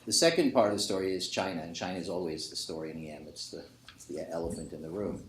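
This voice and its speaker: A man's voice: deep voice, quick pace. An older man, an economist giving emphatic, argumentative, unscripted remarks on a panel, often qualifying his own points. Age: 50s or 60s. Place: conference panel before an audience. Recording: echoey room with faint noise.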